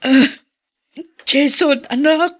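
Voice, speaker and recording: A woman's voice: high voice, steady tone, drawn-out words. A woman acting a sorrowful, plaintive abandoned wife and mother in a Filipino radio drama. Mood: startled, tearful. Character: heartbroken abandoned wife and mother, clinging to love.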